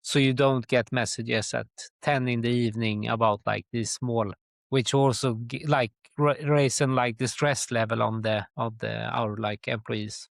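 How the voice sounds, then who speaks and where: A middle-aged man from Sweden with a Swedish accent, answering interview questions conversationally in a halting way, often dropping in "like". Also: medium pitch, fairly even intonation, vocal fry, no echo, studio quality. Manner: halting, with very frequent "like". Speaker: guest, tour company chief executive.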